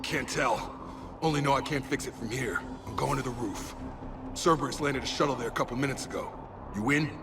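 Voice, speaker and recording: An actor playing a loyal, stubborn soldier in a video game cutscene, plain-spoken and clipped. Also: male voice, medium pitch, brisk, over music, natural voice. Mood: stubborn, urgent. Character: loyal, stubborn male soldier, injured but determined.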